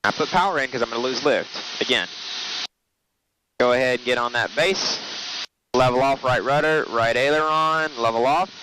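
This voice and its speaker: A man's voice: medium pitch, normal speed. A man giving casual running commentary as a pilot, explaining each step informally from the noisy cockpit of a small airplane. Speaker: pilot narrating flight. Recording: noisy small aircraft cockpit, engine drone.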